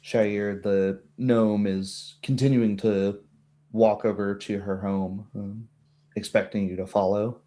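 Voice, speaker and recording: Male game master, deep-leaning mellow voice, improvised fantasy narration. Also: little pitch movement, slow.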